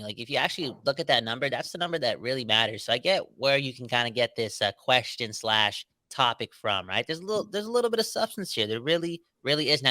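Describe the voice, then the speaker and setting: Male podcast co-host, casual and emphatic, slangy banter, forceful tone. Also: medium pitch, dry recording. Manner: animated, slangy, emphatic, says "bro" and "right?". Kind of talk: casual sports debate.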